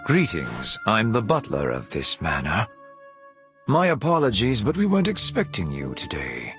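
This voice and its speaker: Game butler, low, formal, deferential, courteous, measured, faint music behind. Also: male voice, wildly swooping pitch. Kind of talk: scripted game dialogue.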